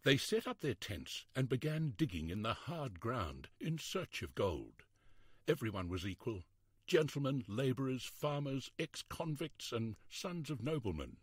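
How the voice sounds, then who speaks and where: A man reading a history storybook aloud in a measured, expressive, scripted narration style. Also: medium pitch, breathy edge, no echo, clean studio sound.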